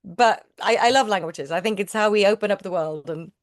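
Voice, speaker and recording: A woman's voice: mid-range voice, brisk, no room sound, clean studio sound. A middle-aged woman whose first language is Swedish, an actor chatting in an interview, talking freely with many restarts and repeated words. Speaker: actor guest. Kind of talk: casual interview chat.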